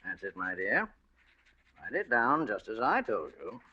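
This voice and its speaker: An actor playing an older, genteel extortionist and killer, mock-polite and menacing, in 1940s radio thriller style. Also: male voice, on the low side, very animated, a little slow, bright, forceful tone, slightly rough, full character voice. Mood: coldly commanding. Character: smooth, menacing old male extortionist and killer. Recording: dull vintage radio broadcast.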